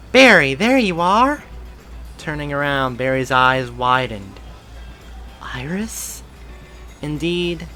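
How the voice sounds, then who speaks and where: A man narrates a story aloud in an even reading voice. His tone is bright and forward, his pitch middling, with faint music playing underneath. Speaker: reader of fan fiction.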